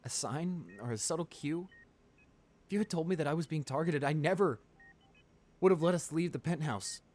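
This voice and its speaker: A man playing a wealthy, devoted client pleading at his comatose lover's bedside in an intimate ASMR roleplay, emotional and halting. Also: a little high, a little mellow, natural voice. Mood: anguished. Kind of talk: ASMR boyfriend roleplay, dramatic monologue.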